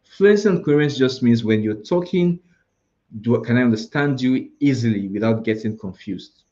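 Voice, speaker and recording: A man's voice: medium pitch, animated, moderate pace, dark tone, lo-fi sound. A man with a Nigerian accent, teaching an IELTS preparation class live, explaining scoring and listing word alternatives with frequent restarts. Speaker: IELTS tutor.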